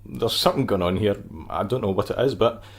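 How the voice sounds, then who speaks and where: An actor playing a gentle, affectionate, soft-spoken man in an audio drama. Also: on the low side, brisk, creaky, breathy edge. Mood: tender, wry. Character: gentle, affectionate grown man courting a woman.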